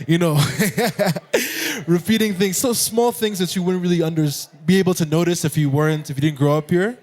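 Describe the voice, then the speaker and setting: A young man with an American accent, a guest musician chatting in a relaxed interview and laughing often. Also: high voice, normal speed. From Nairobi, Kenya. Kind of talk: casual interview chat.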